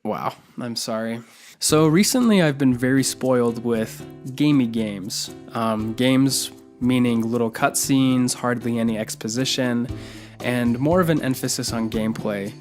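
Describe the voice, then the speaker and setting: A young man with a mid-range voice rambles happily about games, joking and excitable. Music is clearly heard behind him. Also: medium pitch, measured pace. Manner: goofy, excitable, jokey. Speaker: co-host of a gaming video channel. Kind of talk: casual gaming commentary.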